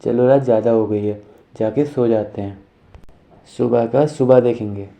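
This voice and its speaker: A man playing a mellow, caring young husband. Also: mid-range voice, fairly even intonation, normal speed, gravelly, highs cut off, natural voice. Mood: reassuring. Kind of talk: animated moral story acting. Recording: music under the voice.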